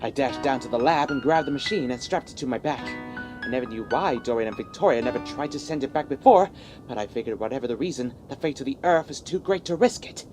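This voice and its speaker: A man playing an earnest, nervous young monster-hunting sidekick in a comedy audio drama, expressive in delivery. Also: mid-range voice, quick pace, music in the background, natural voice. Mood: urgent.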